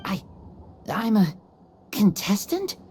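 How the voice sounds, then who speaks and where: A woman voicing an earnest young child in a video game, hesitant, halting and full of wonder. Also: medium pitch, sing-song, warm tone, a little hiss or hum. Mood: amazed, eager. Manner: hesitant, halting, wide-eyed. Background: background music.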